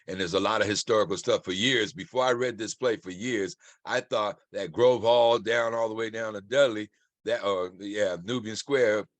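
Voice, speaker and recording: Low-voiced American man, very dry sound. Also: normal speed, no echo. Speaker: actor. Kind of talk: informal praise and comment at a Q&A.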